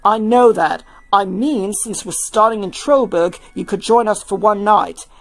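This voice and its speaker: A woman's voice: mid-range voice, unhurried, lightly acted. A woman voicing an easygoing, supportive young male rock star in a fan fiction reading, casual and coaxing.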